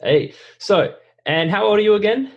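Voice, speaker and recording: A hoarse man with a cold, a podcast host asking friendly, chatty interview questions. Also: high voice, animated, moderate pace, highs cut off.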